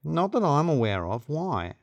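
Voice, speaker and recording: Guy playing no-nonsense questioning coworker, a bit higher pitched, dry sound. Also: very animated, a little slow, vocal fry, no echo, exaggerated voice. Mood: practical.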